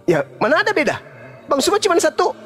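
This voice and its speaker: Male preacher, high voice, combative debater firing rhetorical questions, faint music underneath. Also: wildly swooping pitch, quick pace. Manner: forceful, rhetorical questions, swings from low to loud emphasis. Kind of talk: heated religious debate.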